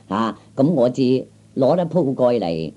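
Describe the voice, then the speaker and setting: A man's voice: mid-range voice, very animated, unhurried, mellow, highs cut off. A man acting a glib, wheedling young man who is broke, with stammers and chuckles, in a Cantonese radio comedy from the 1960s. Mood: hesitant, obsequious.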